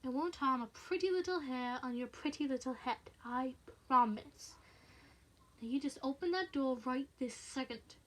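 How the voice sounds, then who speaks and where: A woman's voice: high-pitched, normal speed. A woman voicing a menacing, irritable male henchman who bullies and taunts in an audiobook story. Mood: teasing menace. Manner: snapping, threatening, taunting.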